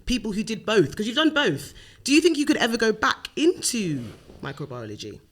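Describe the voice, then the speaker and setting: A woman's voice: a little high, huge pitch swings, fairly quick. A young woman hosting a podcast, chatting with a guest in an encouraging way. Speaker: podcast host. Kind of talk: casual interview chat.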